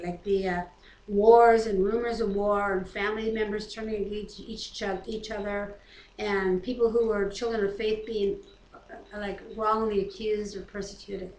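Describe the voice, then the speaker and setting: Higher-voiced North American woman, roomy sound. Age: middle-aged. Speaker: co-host of a home Bible reading. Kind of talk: reading scripture aloud with casual commentary. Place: living room, indoors. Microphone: webcam at about arm's length. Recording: roomy echo, noisy home webcam audio.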